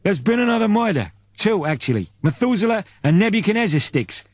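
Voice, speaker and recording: A man's voice: sits high, sing-song, a little fast, dry recording, voice pushed up. A man voicing an earnest, deferential male junior comms officer in an audiobook. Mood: anxious, deferential. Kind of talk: audiobook character voice.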